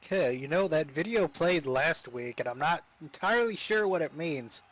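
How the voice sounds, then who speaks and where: A man's voice: on the high side, lively intonation, normal speed, fairly bright tone, rough recording. A man commentating on a wrestling show in a casual, hesitant way, often restarting his words. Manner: hesitant, many fillers and restarts.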